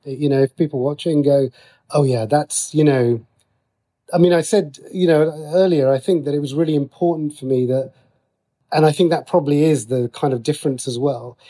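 Male, lightly raised pitch. Casual interview guest who explains in loose, self-interrupting bursts. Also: fairly quick, dark tone. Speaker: guest, TV and radio writer.

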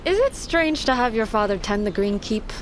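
A woman's voice: fairly high pitch, expressive, moderate pace, lightly acted, poor audio. A woman acting in an audio drama as a plucky, loyal and frank young daughter. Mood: curious, awed. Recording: clear ambience noise behind.

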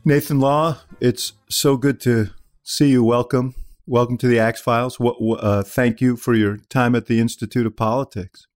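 A middle-pitched American man leads an interview podcast with a measured, earnest delivery. Faint music sits quietly beneath his voice. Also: fairly even intonation. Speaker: podcast host.

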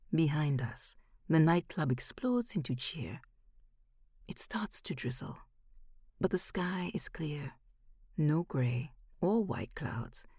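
A woman narrating a first-person literary short story in a measured, expressive way. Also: deep voice, slightly rough, no room sound, clean studio sound.

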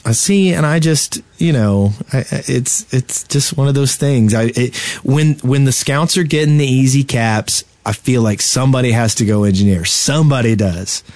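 A man's voice: mid-range voice, moderate pace, hardly any pauses, darkish tone, dry recording. A man co-hosting a casual gaming podcast, telling stories in an exasperated way and acting out quotes. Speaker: podcast co-host.

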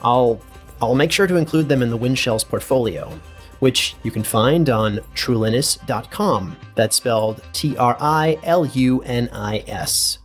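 A curious, methodical scholar played by a man records an audio log in a fantasy drama. His mid-pitched voice is formal and musing, precise in wording, with faint music underneath. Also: unhurried, lightly acted. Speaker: researcher and narrator.

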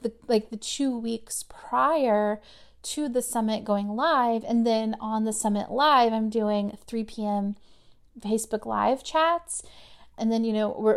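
A woman's voice: fairly high pitch, moderate pace, smooth. A woman in her thirties hosting a podcast, talking in a chatty, conversational way with lots of fillers, her voice a little worn from overuse. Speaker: podcast host. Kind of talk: casual podcast monologue.

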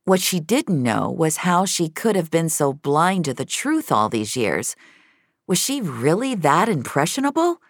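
A woman's voice: sits low, very animated, unhurried, dry recording, clean studio sound. A woman narrator reading scripted fiction in a dramatic storytelling style.

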